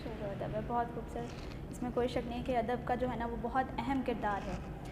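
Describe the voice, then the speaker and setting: High-voiced woman hosting, echoey sound. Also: steady tone, brisk. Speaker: talk show host. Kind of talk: polite interviewing. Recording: echoey hall-like room with clear noise.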